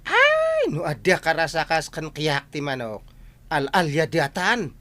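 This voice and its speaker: A man acting an excitable, doubtful villager in a comedic audio drama. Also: on the high side, unhurried, full character voice. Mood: excited.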